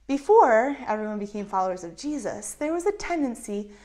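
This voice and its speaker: A woman in her thirties with a lapel mic, telling a Bible story to children in an animated way with acted-out voices. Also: fairly high pitch, a little fast. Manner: animated, acts out voices of characters. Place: indoors in front of a map backdrop.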